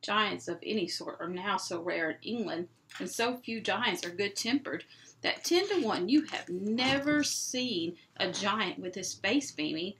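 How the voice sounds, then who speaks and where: A woman reading a children's novel aloud as an expressive storyteller. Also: medium pitch, a little slow, a little hiss or hum. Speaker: storybook reader.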